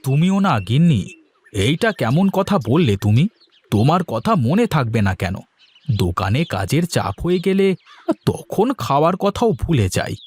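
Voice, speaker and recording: Man acting mild village husband, swooping pitch. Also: very animated, moderate pace, darkish tone, breathy edge. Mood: placating. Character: mild, absent-minded village husband and shopkeeper. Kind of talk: cartoon voice acting.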